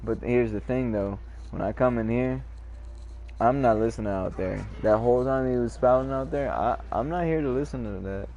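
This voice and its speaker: A man chatting casually while playing an online game, rambling in a calm, lecturing way, repeating words and swearing freely. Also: mid-range voice, moderate pace, highs cut off. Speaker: gamer chatting in party.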